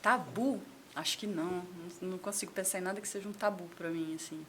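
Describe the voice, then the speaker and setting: A Brazilian woman in her thirties talks as a podcast guest, her voice bright and clear over a faint background hiss. She chats freely, restarting phrases and repeating words as she works out her thoughts. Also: lowish voice, fast. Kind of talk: casual chat.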